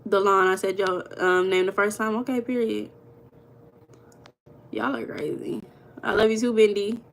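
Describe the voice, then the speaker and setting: A woman's voice: medium pitch, flat delivery, normal speed, creaky. Playful slangy American woman hosting a live. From Los Angeles, USA. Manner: playful, slangy, teasing banter with chat. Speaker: livestream host. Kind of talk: casual live chat reading viewer comments.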